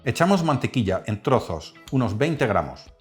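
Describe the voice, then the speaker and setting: Man, calm explanatory cooking voice-over, lively pitch, soft music behind. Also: moderate pace. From Netherlands. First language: Dutch. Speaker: cooking channel host.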